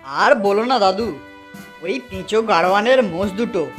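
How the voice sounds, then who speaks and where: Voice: medium pitch, animated, normal speed, a bit bright, faint music behind, natural voice. An actor playing an irritable young college man who complains indignantly in a cartoon. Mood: grumbling. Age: young adult. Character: irritable young college man visiting his village home. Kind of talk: cartoon voice acting.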